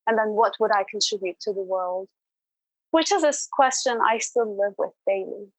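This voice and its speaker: A woman's voice: mid-range voice, animated, moderate pace, dry recording, rough recording. Woman, mid-range voice, podcast guest, earnest and thoughtful, reflective personal storytelling, dry recording.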